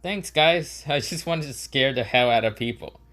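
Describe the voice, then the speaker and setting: A man's voice: mid-range voice, normal speed, a bit bright, natural voice. A man voicing a cheeky young boy ninja in a fan story, teasing and pleading. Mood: breezy.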